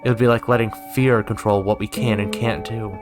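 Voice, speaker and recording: A man's voice: lowish voice, steady tone, fairly quick, music in the background, close to an everyday voice. Visual novel role: curt, reserved young woman with a strong sense of duty, read by a man. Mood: firm.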